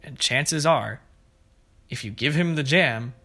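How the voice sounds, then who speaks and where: A man's voice: mid-range voice, slow pace, pushed and bright. A man hosting preschool story time, reading aloud and explaining things simply and expressively to young children. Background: background music.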